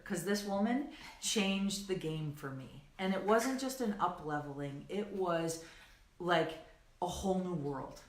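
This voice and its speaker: A woman's voice: lowish voice, a bit bright. A woman co-hosting a coaching livestream, chatting casually and enthusiastically to her online audience in a gushing, rambling way, often checking in as she goes. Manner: giggly, gushing, rambling with frequent "right" and "like". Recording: roomy, echoing sound.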